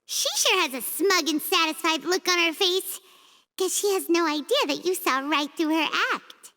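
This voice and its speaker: A woman's voice: very high-pitched, normal speed, bright, forceful tone. A woman voicing a tiny, chatty female companion who talks about herself in the third person and stammers when flustered. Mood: curious. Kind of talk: video game cutscene dub. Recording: long reverb.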